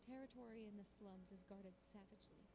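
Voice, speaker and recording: A woman's voice: medium pitch, steady tone, fairly quick, dark tone, a little hiss or hum, natural voice. An actress playing a tough, defiant young woman, narrating her struggle dramatically in the first person for a visual novel. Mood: urgent, dramatic.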